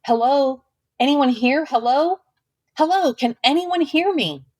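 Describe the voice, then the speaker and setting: Woman acting a high, frightened girl calling out. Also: measured pace, a little mellow, no echo. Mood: frightened, desperate. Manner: urgent, pleading calls. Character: frightened girl left alone, calling out desperately.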